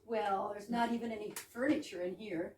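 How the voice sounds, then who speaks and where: A woman's voice: medium pitch, steady tone, brisk, darkish tone, lightly acted. A woman playing a flippant, playful young lady chatting from her bath in an amateur readers theater comedy. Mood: teasing. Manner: saucy, breezy, playful. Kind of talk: amateur readers theater of a 1920s comic play. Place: indoor readers theater stage, distant microphone. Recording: roomy distant stage recording, faint noise.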